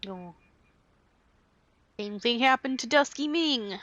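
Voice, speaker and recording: A woman's voice: a little high, animated, slow, fairly bright tone, lo-fi sound. A woman streaming a video game, chatting casually and answering her chat. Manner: chatty, reads chat aloud. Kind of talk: casual live-stream commentary over a game.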